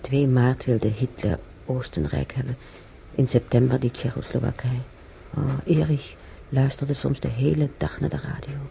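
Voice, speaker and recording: An actress playing an older woman in a radio play, musing haltingly and sorrowfully over the past in a husky, gravelly voice. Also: very deep voice, fairly even intonation, warm tone, slight background noise, exaggerated voice, rough recording. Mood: anxious, bitter. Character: older woman, sorrowful, brooding, recalling war years. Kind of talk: radio play monologue, reminiscing.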